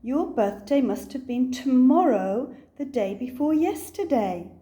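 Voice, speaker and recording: A woman's voice: high-pitched, expressive, unhurried, dark tone. A bossy animal friend from a picture book, put on by a woman reading aloud. He explains things with emphasis in a somewhat raised voice, sure of himself. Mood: emphatic, explaining.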